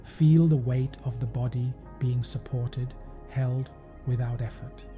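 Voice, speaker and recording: A man narrating a guided meditation in a gentle, measured, soothing manner, as a podcast host leading listeners. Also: mid-range voice, fairly even intonation. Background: meditation music.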